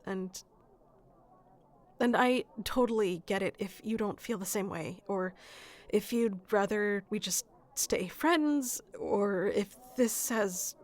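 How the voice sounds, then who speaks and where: A woman's voice: medium pitch, slow, lightly acted. A woman playing a shy, sweet, lovestruck florist in a romantic ASMR roleplay, speaking hesitantly with nervous stammers.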